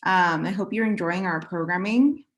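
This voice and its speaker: A graduate student in her twenties moderating an online panel, soft-spoken and hesitant, with many ums, heard over a video call. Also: lowish voice, moderate pace.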